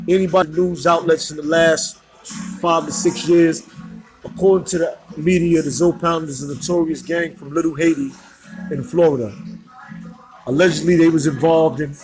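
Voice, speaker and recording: A man interviewing a guest for a magazine, opening with a scripted introduction and then asking questions in a measured, deliberate way. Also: on the high side, low-quality recording.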